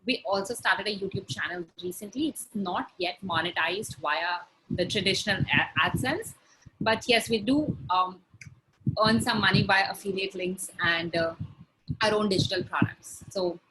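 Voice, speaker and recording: A woman's voice: mid-range voice, normal speed, background noise. A young woman from India with an Indian English accent, talking easily as a guest about her work and laughing between points. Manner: chatty, laughs between points, light fillers. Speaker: guest, blogger. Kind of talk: conversational interview answers.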